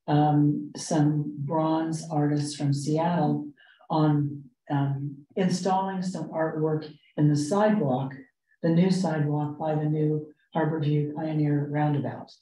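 A woman with a low, mellow voice leads a committee meeting. She explains each point in a measured, halting way. She is picked up from a distance in an echoey room. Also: flat delivery. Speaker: arts commission member leading the meeting. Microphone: distant room microphone.

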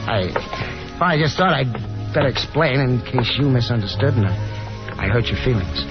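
An actor playing an affable young gentleman caller in a 1950s radio drama, earnest and awkward, stammering as he speaks. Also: mid-range voice, lively intonation, normal speed, lightly acted. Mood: awkward, apologetic. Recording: dull 1950s radio broadcast, music behind.

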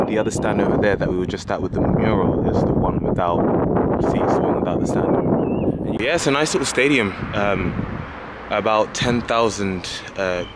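A young man in his twenties chatting casually and with enthusiasm as he films himself walking city streets, holding the camera at arm's length. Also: lowish voice. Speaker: travel vlogger. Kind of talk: casual walking vlog narration. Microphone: handheld camera at arm's length. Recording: loud outdoor traffic noise. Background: loud street and traffic noise.

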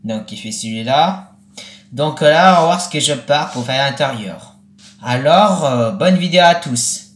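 A young man in his late teens speaking casually for a vlog, in a rambling, repetitive and halting way. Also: medium pitch, animated, a bit bright. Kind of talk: casual vlog with acted-out conversations. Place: small attic bedroom, indoors. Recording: roomy, echoey webcam-style recording.

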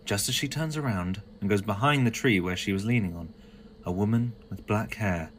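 A man's voice: on the low side, moderate pace, a little hiss or hum. A man reading scripted narration for an audio drama.

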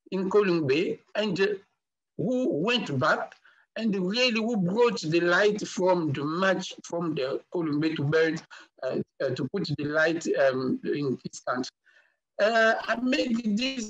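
A man with a fairly high voice gives a halting online talk, full of "uh" and restarts, and leans hard on his key points. The sound is dull and lo-fi, like a video call. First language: Sakata. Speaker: guest speaker. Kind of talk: online lecture with slides.

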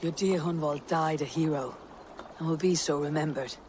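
Young male Viking warrior for a game male actor, higher register, measured delivery, loyal and battle-weary. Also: fairly even intonation, a little mellow, slight background noise, close to an everyday voice. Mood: consoling. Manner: solemn, measured. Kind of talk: dramatic game cutscene acting.

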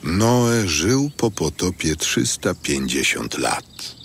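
A man narrating a dramatised audio Bible in a solemn, measured storytelling manner. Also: deep voice, big pitch swings, gravelly, noisy background.

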